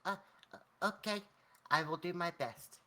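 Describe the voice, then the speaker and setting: A game player voicing a tiny, shy, polite gnomish girl child who speaks haltingly and with eagerness. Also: mid-range voice, expressive, sharp tone, pitched above the performer's own voice. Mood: hesitant, eager. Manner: halting, stammering.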